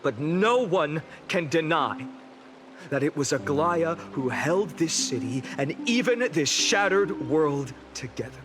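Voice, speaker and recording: A solemn, slow-speaking orator. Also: female voice, low voice, lively intonation. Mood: solemn, reflective. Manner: measured, earnest, deliberate rhetorical delivery. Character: solemn, resolute female leader giving a speech to a grieving city. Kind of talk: dramatic oratory, video game voice acting. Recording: orchestral music behind the voice.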